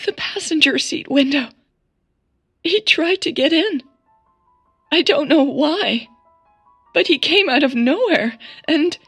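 A woman's voice: high-pitched, expressive, takes it slowly, pauses often, fairly bright tone, no echo, clean studio sound, faint music behind, pitched above the performer's own voice, exaggerated voice. A woman voicing a frightened, breathless and upset woman on the phone in an audio drama. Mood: distraught. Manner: breathless, panicky, tearful. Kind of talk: audio drama character dialogue.

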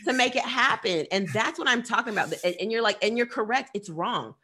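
Animated, passionate woman talking. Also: fairly high pitch, a little fast. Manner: animated, emphatic, laughing asides. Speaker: podcast co-host. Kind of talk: passionate casual discussion.

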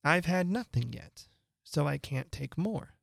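A woman's voice: sits low, expressive, unhurried, gravelly, no room sound, studio quality, full character voice. A woman voicing a polite, curious young girl who speaks earnestly. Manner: earnest, wondering.

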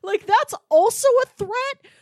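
A woman's voice: very high-pitched, a little slow, dry recording, studio quality. A woman co-hosting a casual film discussion, making emphatic points and building them through repetition. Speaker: podcast co-host.

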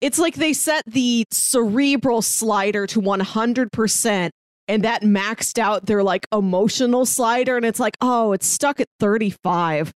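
A woman's voice: a little high, measured pace, smooth, no echo. A woman co-hosting a podcast, chatting casually and conversationally as she gives her review. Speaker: podcast co-host. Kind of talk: casual chat reviewing a TV series.

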